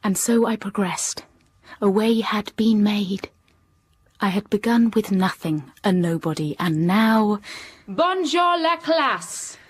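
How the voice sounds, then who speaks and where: A woman's voice: mid-range voice, lively intonation, slow pace, slightly rough. A woman playing a timid but steely young schoolteacher in a period radio drama, speaking formally and precisely and narrating her own story. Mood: reflective, then strained authority.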